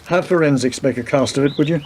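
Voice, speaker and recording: Man acting a slightly high-voiced, wry police detective. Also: fast talker, darkish tone, slight background noise, lightly acted. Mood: brisk, analytical. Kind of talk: radio play acting.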